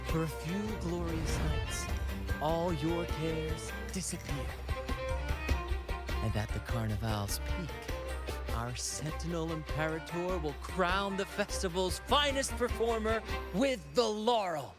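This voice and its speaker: Theatrical, high-voiced gallant ship captain. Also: male voice, animated, takes it slowly, music in the background. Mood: exuberant. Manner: theatrical, florid, sing-song flourishes. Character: flamboyant, courteous young male ship captain and troupe leader. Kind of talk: video game voice acting.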